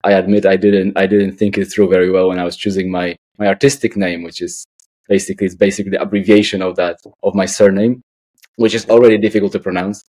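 A man's voice: low-pitched, level tone, quick pace, darkish tone. A man in his late thirties with a Polish accent, answering casually as an interview guest, hesitant and often repeating words. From Poland. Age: late 30s. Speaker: guest, artist and architect.

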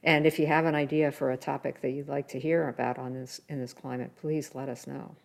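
A woman's voice: rumbling low, fairly even intonation, brisk, gravelly. A woman co-hosting a podcast, talking in a casual, conversational way. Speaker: podcast host.

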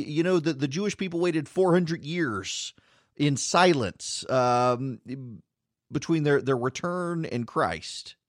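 A man's voice: fairly high pitch, dry recording, studio quality. A man who hosts a radio show, talking about his faith in a reflective, self-deprecating way and often repeating words as he goes. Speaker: radio host. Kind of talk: reflective personal talk on faith.

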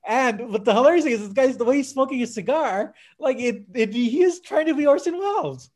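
A man's voice: very high voice, lively intonation, brisk, darkish tone. A man casually retelling anecdotes in a hesitant, fragmented way, often searching for his words. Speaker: podcast guest or co-host discussing a film.

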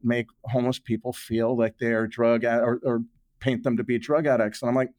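Guy guest on a podcast explaining stuff, medium pitch, repeats words to hammer it home, dry audio. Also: level tone, moderate pace, a little mellow, no room sound. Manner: emphatic, repeats words for stress. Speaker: guest, author and journalist. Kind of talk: conversational interview explanation.

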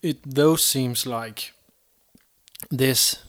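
A man co-hosting a casual podcast discussion, halting and repeating words as he thinks. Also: medium pitch. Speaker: podcast co-host.

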